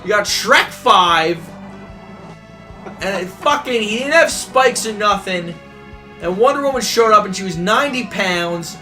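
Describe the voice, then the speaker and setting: High, bright-voiced young man ranting over game music. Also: animated, unhurried. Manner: rambling, profane, mocking impressions. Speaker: let's player. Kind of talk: casual gameplay commentary.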